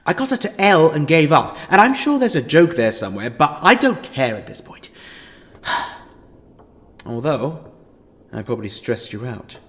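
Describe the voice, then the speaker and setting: A man's voice: fairly high pitch, sing-song, unhurried, pauses often, fairly bright tone. An actor playing a scheming, theatrical male villain in a fan audio drama, talking to a captive in a grandiose, mock-apologetic monologue. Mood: weary, candid.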